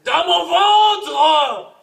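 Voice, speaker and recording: A man from Congo whose first language is Swahili reads his poetry aloud with theatrical force. His voice sits very high and turns gravelly. His delivery is bright and tense and drawn out very slowly. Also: expressive. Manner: theatrical, shouting and laughing between verses. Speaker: poet reading own work. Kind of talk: live poetry performance.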